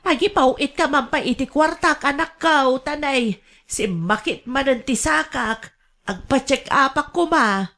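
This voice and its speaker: A woman's voice: high voice, measured pace, a bit bright. A woman playing an older, quarrelsome mother in a radio drama, aggrieved and defensive, blaming everyone around her. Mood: pleading, startled. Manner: querulous, defensive. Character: aggrieved, quarrelsome gambling mother who blames her relatives.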